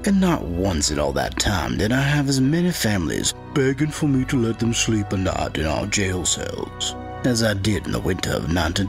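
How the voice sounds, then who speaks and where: An actor playing a grim, secretive 1920s small-town sheriff, telling his dark tale as a gravelly first-person narrator. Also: lowish voice. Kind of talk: first-person dramatic storytelling.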